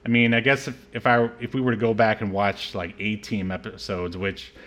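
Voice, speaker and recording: A man's voice: on the low side, flat delivery, a little fast, crowd noise. A man hosting a podcast, talking through a film in a casual, rambling and hesitant way. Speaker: podcast host. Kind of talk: casual film review chat.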